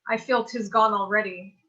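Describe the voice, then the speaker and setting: A woman's voice: medium pitch, steady tone, slow pace, pushed and bright. A woman playing an anxious, bookish teenage girl in a mock-Shakespearean staged reading, speaking earnestly in theatrical archaic language. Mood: resigned. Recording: dull lo-fi sound.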